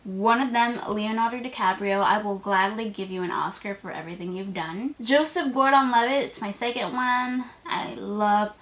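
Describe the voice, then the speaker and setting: A woman's voice: medium pitch, normal speed, hardly any pauses, a bit bright, poor audio. An eighteen-year-old woman chatting to camera in a casual vlog, gushing and full of "like" fillers. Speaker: vlogger. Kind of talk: casual tag video chat to camera.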